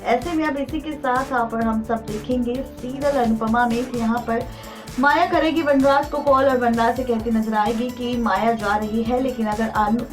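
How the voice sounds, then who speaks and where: A woman with an Indian accent narrates entertainment news in a fairly high voice. Her scripted delivery is emphatic and dramatic, like a teaser for TV serial updates. Music plays faintly behind her. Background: background music.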